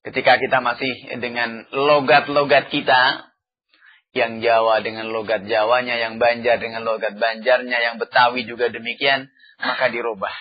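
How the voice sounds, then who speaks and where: Husky-voiced male teacher, lo-fi lecture. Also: medium pitch. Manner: chants Arabic verses slowly, then explains with many "ya" fillers. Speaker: Islamic teacher. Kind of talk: religious study lecture.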